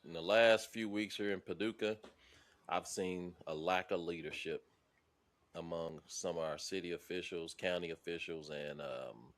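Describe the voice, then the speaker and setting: A man's voice: low voice, normal speed, a bit bright. A man turning fifty, co-hosting a casual, blunt podcast chat. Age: 40s. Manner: laid-back, blunt, profane. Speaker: podcast co-host.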